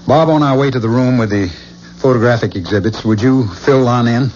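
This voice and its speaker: A man's voice: mid-range voice, a little mellow, a little hiss or hum, natural voice. An actor playing a troubled, intense man who believes he is a reincarnated killer, in a 1970s radio drama. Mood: earnest. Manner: haunted, intense, halting. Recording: dull 1970s radio recording with music.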